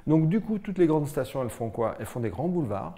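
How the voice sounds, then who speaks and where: A man's voice: fairly high pitch, sing-song, fast, warm tone. An older man explaining things in an interview, conversational and emphatic, making his case point by point. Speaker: mountain association president. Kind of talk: documentary interview, explaining informally. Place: indoors, small office with windows.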